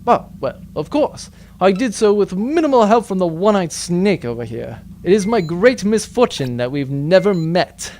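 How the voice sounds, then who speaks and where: A man's voice: high-pitched, sing-song, moderate pace, a little mellow, slight background noise, voice pushed down. A man voicing a brash, vain space adventurer captain in a comedic audio drama, self-important, bantering and flirtatious. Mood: smug, flirtatious.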